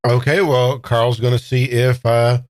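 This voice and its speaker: A man talks casually as a player in a tabletop role-playing game. He sounds matter-of-fact and curious, with a middling pitch and a close, dry sound. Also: little pitch movement, measured pace, no room sound. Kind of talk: casual role-play chat.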